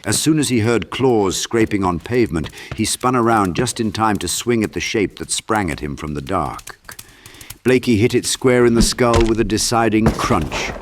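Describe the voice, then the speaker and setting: A man with a very low voice narrates a dark horror audiobook. His delivery is dramatic and scripted, and there is clear noise behind the voice. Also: normal speed.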